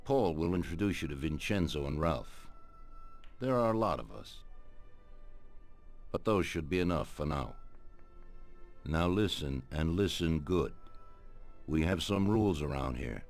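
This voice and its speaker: An actor playing an older mafia boss in a game, measured, deliberate and commanding. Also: male voice, rumbling low, lively intonation, soft background music, heavily acted. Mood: stern, instructing. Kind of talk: dramatic video game cutscene acting.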